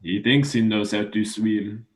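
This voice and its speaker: A man's voice: on the low side, fairly even intonation, measured pace, natural voice. A young man playing a patient, explanatory father of small children in a radio play. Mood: fondly amused. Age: young adult.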